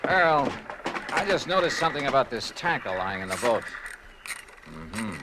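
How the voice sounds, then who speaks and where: An actor playing a shrewd, probing detective with an American accent, in the style of 1950s radio noir. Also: male voice, mid-range voice, big pitch swings, normal speed, heavy background noise, natural voice. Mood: intent, brisk. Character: shrewd, probing male detective, cool and businesslike. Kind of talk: 1950s radio noir acting with first-person narration. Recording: vintage radio drama recording.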